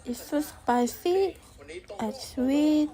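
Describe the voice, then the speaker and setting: A woman speaking simple, halting in a casual food vlog. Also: high voice, dark tone. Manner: simple, halting non-native English. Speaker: food vlogger.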